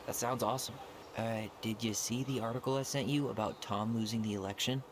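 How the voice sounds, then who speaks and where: An actor playing an easygoing young American man in a video game, speaking casually and with gentle, understated humor. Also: medium pitch, steady tone, fairly quick, slight background noise, lightly acted. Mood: joking, warm. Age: young adult. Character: easygoing young man, twin brother leaving his childhood home. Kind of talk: naturalistic video game dialogue.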